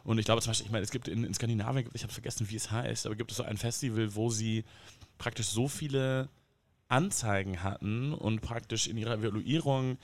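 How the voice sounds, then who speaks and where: Male guest, lowish voice, measured and hedging. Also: steady tone. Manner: thoughtful, hedging with fillers. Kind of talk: casual discussion.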